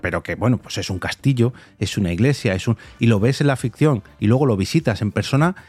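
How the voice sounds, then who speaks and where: A man's voice: mid-range voice, expressive, fast talker, a little mellow, husky, no echo. A man with an accent from Spain hosting a conversational interview in an enthusiastic manner. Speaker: podcast host and interviewer.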